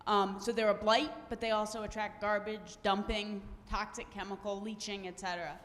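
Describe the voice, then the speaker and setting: A woman moderating a conference panel, introducing speakers briskly and stumbling over a name. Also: medium pitch, flat delivery, fairly bright tone. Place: conference hall. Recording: long hall reverb, faint room noise.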